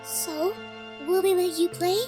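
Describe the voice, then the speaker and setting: Shy young daughter pony, tiny very high voice, slow and sweet, music behind, audio drama. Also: female voice, level tone. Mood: tender, reassuring. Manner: soft-spoken, timid.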